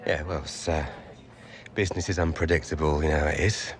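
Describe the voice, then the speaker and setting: An actor playing a smooth, evasive football agent in a game cutscene. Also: male voice, rumbling low, fairly even intonation, brisk. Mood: awkward, evasive. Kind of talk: naturalistic game cutscene acting.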